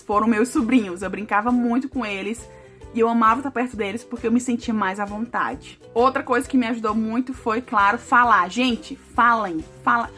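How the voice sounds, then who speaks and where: A woman's voice: fairly high pitch, fairly bright tone. A young Brazilian woman with a Brazilian Portuguese accent, chatting casually to her viewers, often adding "né" and "sabe". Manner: chatty, addresses viewers as "gente", frequent "né" and "sabe". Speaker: vlogger. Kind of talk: casual chat to camera.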